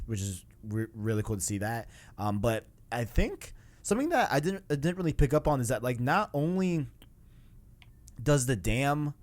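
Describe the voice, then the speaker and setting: A young adult man co-hosting a podcast, casually discussing video games in an agreeable, halting way. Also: mid-range voice, darkish tone. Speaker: podcast co-host.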